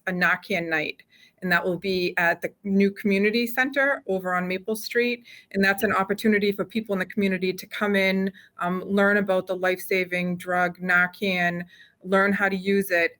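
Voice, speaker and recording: A woman gives interview answers as a police chief. Her voice is on the low side and stays very even, and she pauses often with hesitant ums. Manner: hesitant, halting delivery with frequent ums.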